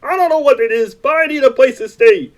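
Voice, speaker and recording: A man's voice: very high-pitched, brisk. A man voicing a frantic puppet frog who plays a bewildered small-town family man in a comic radio play, with a thin, strained, pleading, folksy delivery.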